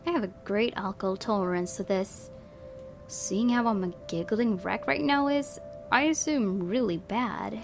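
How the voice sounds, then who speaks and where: A woman's voice: mid-range voice, a little slow, creaky. A woman playing a visual novel, reading its text aloud and adding casual reactions. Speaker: game streamer. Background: game music.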